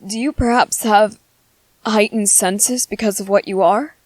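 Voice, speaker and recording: A woman voicing a gentle, clever young male soldier in an ASMR roleplay, speaking softly and politely with hesitant ums. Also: mid-range voice, fairly even intonation, a little slow, dry recording, lightly acted. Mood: calm, reassuring.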